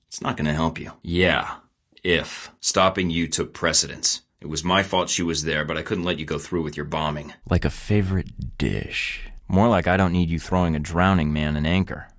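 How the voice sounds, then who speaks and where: A sardonic secret agent for a video game. His voice sits very deep, and he delivers wry lines with a deadpan, unflappable cool. He is a man who sounds dryly amused and in control. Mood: flirtatious, wry. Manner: dry, deadpan wisecracking. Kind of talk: video game dialogue, separate takes.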